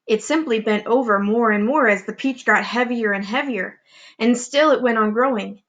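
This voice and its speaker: A woman reading a children's storybook aloud as a teacher would, with expressive, deliberate narration. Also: fairly high pitch, highs cut off.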